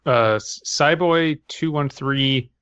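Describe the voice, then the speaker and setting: A man talks as a podcast co-host in a very dry, close recording. His pitch is mid-range, and his casual reading and chat carry a sardonic edge. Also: extremely slow, a bit bright, smooth, no echo, muffled recording. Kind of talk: casual banter and reading aloud.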